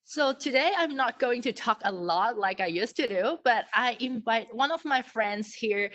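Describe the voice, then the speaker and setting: Welcoming woman host, slightly high voice. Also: animated, normal speed, fairly bright tone, dull audio. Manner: welcoming, hesitant with fillers. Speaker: aquarium host. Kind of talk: hosting an online talk. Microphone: video call.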